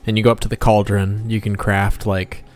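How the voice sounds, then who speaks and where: A man streaming as a game developer and artist, chatting casually and muttering in a low-key way as he works. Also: level tone, normal speed, faint music behind. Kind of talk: casual livestream chat while drawing.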